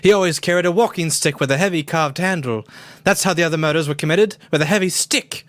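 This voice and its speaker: A man playing a bluff, excitable upper-class British gentleman with theatrical exclamations in an amateur radio play. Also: on the high side, quick pace, no room sound, close to an everyday voice. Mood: grave, urgent. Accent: put-on British. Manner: theatrical, old-fashioned exclamations.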